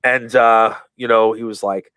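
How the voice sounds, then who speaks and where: A man being interviewed on a podcast, telling stories in a rambling way, full of fillers and restarts, and acting out quoted lines with lively voices. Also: fairly low pitch, unhurried, smooth, dry recording. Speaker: guest, professional wrestler. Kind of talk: casual storytelling in a podcast interview.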